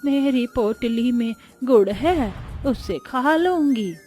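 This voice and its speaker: An elderly village beggar woman, voiced by a female narrator, speaks slowly and softly for a cartoon fable. She is humble and kindly, with music underneath. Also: on the high side, lively intonation. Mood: humble pleading. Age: older. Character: kindly old beggar woman with a magical gift. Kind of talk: Hindi cartoon moral story voice.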